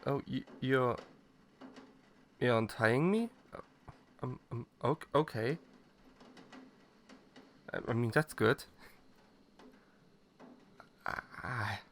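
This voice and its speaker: A shy, clueless catboy played by a man speaks in a mid-pitched male voice at a very slow pace. He is hesitant and stammers, bashful and eager to please, as in an intimate roleplay audio drama. Faint ambient noise sits behind the voice. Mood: nervous, eager. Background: ambient noise, rain.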